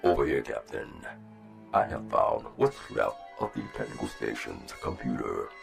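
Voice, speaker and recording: Man playing a very deep, gravelly starship officer. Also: big pitch swings, soft background music, full character voice. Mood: businesslike. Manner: formal, measured, deferential. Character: loyal, formal male rabbit starship first officer. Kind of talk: sci-fi audio drama acting.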